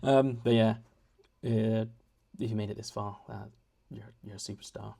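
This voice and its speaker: A man's voice: lowish voice, fairly even intonation, moderate pace, vocal fry, dark tone. A young man chatting at home in a casual vlog, rambling and self-deprecating with many restarts. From United Kingdom. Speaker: vlogger. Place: home, indoors.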